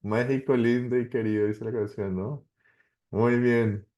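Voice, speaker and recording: A man's voice: medium pitch, steady tone, measured pace, warm tone, muffled recording. A Colombian man, a pastor, casually hosting an online class in an encouraging way with short repeated acknowledgements. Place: online video call.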